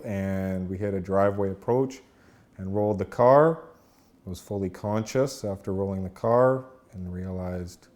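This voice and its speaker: A man's voice: on the low side, a little mellow. A man in his thirties speaking in a composed, factual way in a documentary interview. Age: 30s.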